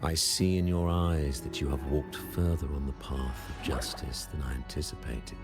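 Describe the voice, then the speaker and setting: An actor playing a devout, commanding religious leader in a video game, speaking in grave, measured, pious oratory. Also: male voice, extremely low pitch. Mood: confessional, reverent. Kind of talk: dramatic video game voice acting. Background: prominent background music.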